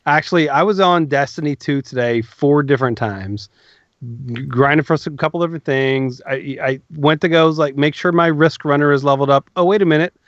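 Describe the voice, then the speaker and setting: A man co-hosting a gaming podcast from inside a closet, with a somewhat high voice. He rambles through stories and laughs at himself. The sound is dry, dead and a little muffled. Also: brisk, no room sound. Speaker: podcast co-host. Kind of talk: casual podcast chat.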